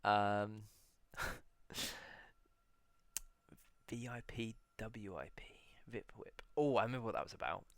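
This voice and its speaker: A man in a casual solo video, musing aloud as he reads out old titles slowly, with many pauses and fillers. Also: lowish voice, gravelly, a bit bright, no room sound. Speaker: video blogger.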